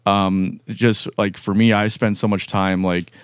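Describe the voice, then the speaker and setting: A man hosting a podcast, a musician talking over his work in a halting, reflective way. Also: on the low side, flat delivery, clean tone, no room sound, clean studio sound. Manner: halting, reflective, many restarts. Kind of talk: casual podcast chat.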